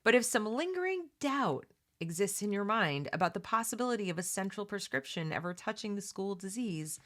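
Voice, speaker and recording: A woman's voice: medium pitch, sing-song, brisk, a bit bright. A woman hosting a read-along, mixing reading aloud with casual, opinionated commentary in an emphatic, conversational way that addresses listeners directly.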